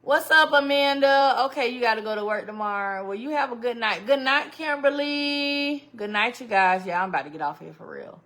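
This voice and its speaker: A woman's voice: on the high side, lively intonation, normal speed, few pauses, a bit bright. A woman hosting a casual live stream, chatting animatedly with viewers, greeting them by name and laughing often. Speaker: livestream host. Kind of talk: casual livestream chat with viewers.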